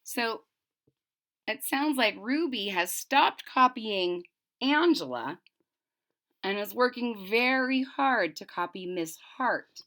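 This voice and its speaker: Female children's story reader; expressive, slow-paced and bright, explaining simply as she goes. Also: fairly high pitch. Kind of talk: animated read-aloud for young children with explanatory asides.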